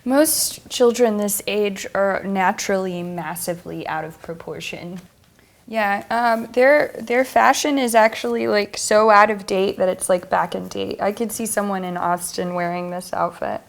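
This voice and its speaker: A woman's voice: mid-range voice, measured pace, creaky. A woman chatting casually as a guest painter, giving candid, opinionated reactions to pictures. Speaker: guest painter reviewing photographs. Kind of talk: casual chat. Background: faint music.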